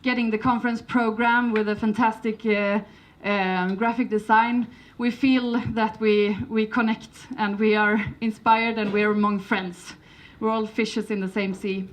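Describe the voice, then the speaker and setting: A woman's voice: mid-range voice, level tone, measured pace, low-quality recording. A woman from Sweden with a Swedish accent, giving a conference presentation in English, hesitant, with many fillers and restarts, and a light humour. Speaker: conference speaker.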